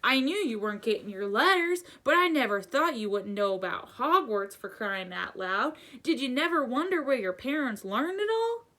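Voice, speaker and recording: Woman acting gruff giant man, booming and growling, hot-tempered yet kindly, bright forceful tone, storybook character. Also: sing-song, full character voice. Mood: indignant. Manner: booming, growling, drawn-out emphatic delivery. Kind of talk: children's storybook read-aloud.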